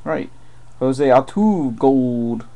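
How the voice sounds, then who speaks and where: A man's voice: mid-range voice, lively intonation, drawn-out words, dark tone, slight background noise. A man giving muttered play-by-play while opening packs of trading cards during a live break. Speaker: card break host. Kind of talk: live card break commentary. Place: room with boxes on a desk. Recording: faint wrapper crinkling behind.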